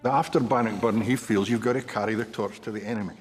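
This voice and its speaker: A man's voice: lowish voice, steady tone, quick pace, long reverb tail, soft background music. A male historian in a documentary interview, reflective and qualifying his points as he goes.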